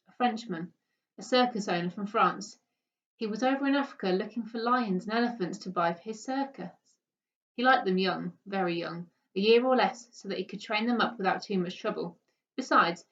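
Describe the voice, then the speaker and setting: A woman reading a children's story aloud with expressive narration. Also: medium pitch. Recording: dull, distant microphone.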